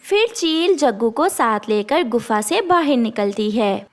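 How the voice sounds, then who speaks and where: A woman's voice: fairly high pitch, lively intonation, a little slow. A woman storyteller reading scripted narration for a children's cartoon.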